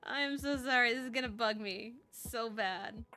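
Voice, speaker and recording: A woman livestreamer chatting and reading aloud casually, expressive and exclamatory, often interrupting herself. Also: a little high, unhurried, soft background music. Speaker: streamer reading fanfiction aloud.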